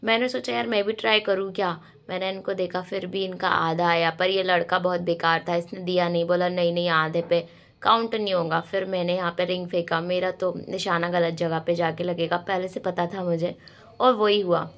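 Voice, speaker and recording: A young woman, hoarse from a cold, chatting to viewers in a casual vlog voice-over. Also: lowish voice, steady tone, moderate pace, a bit bright. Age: young adult. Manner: chatty, addressing viewers directly. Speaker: vlogger and dance choreographer.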